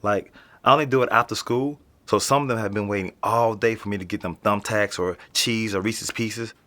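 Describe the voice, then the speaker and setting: A man's voice: lowish voice, fairly even intonation, a little fast, a bit bright, lightly acted. A young man with an American accent playing a casual, slangy, streetwise teenage boy being interviewed in a naturalistic audio drama. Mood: nonchalant. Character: nonchalant streetwise teenage boy living in an apartment building.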